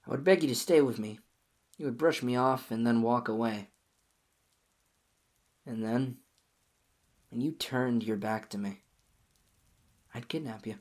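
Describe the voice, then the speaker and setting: A woman voicing an obsessive, clingy young lover in an audio roleplay, speaking softly and pleadingly in a husky, whispery voice to the listener. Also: a bass voice, animated, normal speed, pauses often, full character voice. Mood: quietly sinister.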